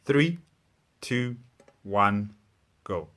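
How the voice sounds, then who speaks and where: A man's voice: on the low side, lively intonation, drawn-out words, a bit bright. A man hosting a reaction video, talking casually and hesitantly as he gathers his thoughts off the cuff.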